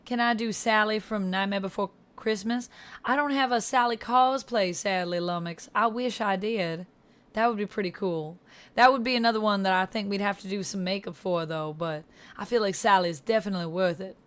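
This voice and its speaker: A woman's voice: medium pitch, moderate pace, fairly bright tone. A woman hosts a casual livestream in a Georgia-flavored Southern accent that she puts on. She chats away with her viewers, addressing them by name as she answers. Her voice sits in an ordinary middle range. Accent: Southern US, Georgia, put on. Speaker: livestream host.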